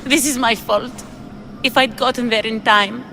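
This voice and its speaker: A woman's voice: fairly high pitch, steady tone, unhurried, sharp tone, slight background noise. A woman playing a grief-stricken woman searching for her lost love, in dramatic video game acting. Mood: anguished, longing.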